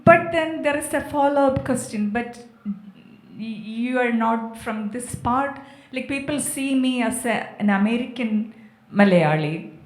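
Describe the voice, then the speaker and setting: A woman from Kerala speaks reflectively on a panel, pausing between short phrases. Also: on the high side, lively intonation. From Kerala, India. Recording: echoey, amplified festival venue.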